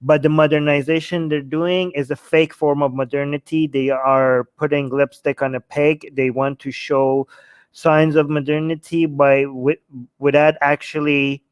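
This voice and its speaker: Clear, higher-pitched man arguing his point. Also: flat delivery, measured pace, no room sound. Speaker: host of a discussion show. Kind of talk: opinionated panel discussion.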